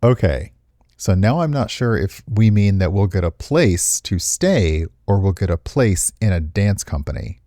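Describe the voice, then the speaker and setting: Male game streamer, low and dry-miked, casual and playful with a wry, teasing edge. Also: big pitch swings, a little slow, mellow, no room sound. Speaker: streamer playing and reading aloud a visual novel. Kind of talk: casual playful commentary mixed with reading game text aloud.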